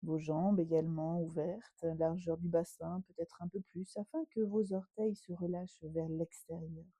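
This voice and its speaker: A woman guides a relaxation session as a yoga teacher. Her voice is slightly low and very soft and mellow, recorded close and dry. She gives gentle instructions and leaves pauses between them. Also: steady tone, no room sound.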